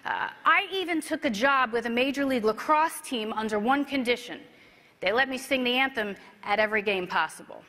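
A woman in her thirties giving a rehearsed motivational keynote story, with emphatic delivery and dramatic pauses. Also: on the high side, pushed and bright. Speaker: motivational speaker. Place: convention stage, indoors. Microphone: clip-on lapel mic. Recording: echoey large hall.

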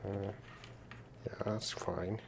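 A man's voice: on the low side, steady tone, drawn-out words, vocal fry, slight background noise. A man presenting an unscripted hands-on demonstration in a casual, matter-of-fact way, thinking aloud as he goes. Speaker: presenter of a radio gear test video. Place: indoors at a work desk.

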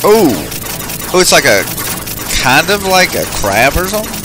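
A man's voice: high voice, sing-song, normal speed. A man giving casual, off-the-cuff commentary as he goes through a video game. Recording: game music behind the voice. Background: video game music.

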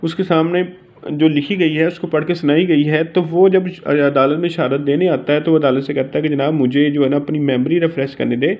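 A man with a Pakistani accent giving an informal lesson as a teacher, reading out and explaining in a halting way. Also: fairly high pitch, steady tone, lo-fi sound. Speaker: law teacher.

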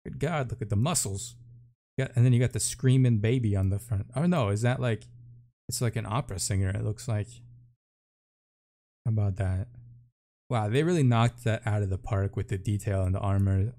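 A man with a fairly low voice and a dark, relaxed tone. He talks like a game streamer giving a first look, informal and chatty. His delivery is casual, with a jokey streak. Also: normal speed, pauses often.

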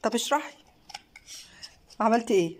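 An Egyptian woman filming a home craft vlog on her phone, talking casually and praising warmly as she films. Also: a little high, animated, normal speed, slight background noise. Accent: Egyptian Arabic. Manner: warm praising, encouraging, mixes in many fillers. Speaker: craft channel host and mother. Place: living room at a home table. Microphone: phone camera held by the speaker.

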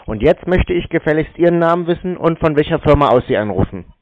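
A man's voice: fairly high pitch, animated, quick pace. A man in a phone conversation, answering in a dry, stubborn and evasive way over a telephone line. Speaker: call recipient baiting scam callers. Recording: narrow muffled telephone line.

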